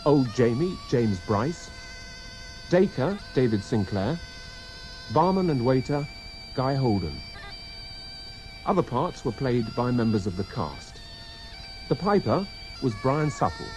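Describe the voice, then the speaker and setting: A man's voice: mid-range voice, sing-song, takes it slowly, long pauses, a little mellow, a little hiss or hum. A man announcing scripted radio drama credits in a formal broadcast manner.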